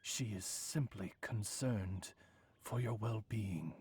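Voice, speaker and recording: Oz, a deep, courtly raven servant. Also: male voice, a little mellow, breathy edge, exaggerated voice. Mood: gallant, reassuring. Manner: formal, deferential, florid, measured. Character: courtly, pompous male talking raven familiar, devoted servant. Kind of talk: video game voice acting. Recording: music playing behind the voice.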